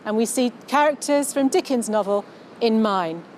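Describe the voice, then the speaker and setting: A woman with an English accent giving an articulate, measured, scripted talk as a novelist. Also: on the high side, lively intonation, darkish tone, a little hiss or hum. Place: outdoors on a London street.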